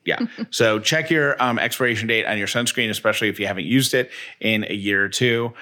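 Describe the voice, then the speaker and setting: A man's voice: fairly low pitch, fairly quick, sharp tone. A middle-aged man co-hosting a radio show, moving between casual banter and host-read material.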